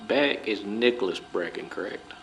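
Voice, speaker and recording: Male actor as stern police interrogator, lowish voice, formal procedural delivery, audio drama, faint background noise. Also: lively intonation, moderate pace. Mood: formal, probing. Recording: clearly noisy background.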